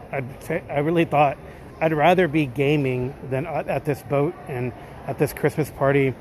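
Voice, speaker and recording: A man's voice: fairly high pitch, a little hiss or hum. A man leaving a casual, rambling voice message as a caller, speaking in stop-and-start phrases.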